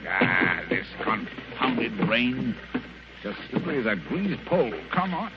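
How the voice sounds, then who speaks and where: A man playing a brisk, shrewd, commanding detective in an old radio drama, with a crisp, theatrical British delivery. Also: medium pitch, sing-song. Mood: muttering, straining. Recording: muffled, hissy vintage radio recording.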